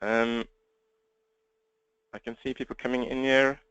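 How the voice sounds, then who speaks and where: A man's voice: mid-range voice, level tone, fairly bright tone, clean tone, muffled recording. A man with a South African accent giving a live webinar training from his laptop, upbeat and direct. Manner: fast-talking, upbeat and direct. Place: at home desk speaking to laptop.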